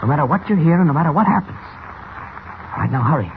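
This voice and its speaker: On a tinny, very noisy old radio serial, a protective American reporter. His manner is brisk and reassuring, with a firm streak of command. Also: a little high, animated. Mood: reassuring, urgent. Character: calm, protective male newspaper reporter, quick to take charge. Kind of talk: 1940s radio acting.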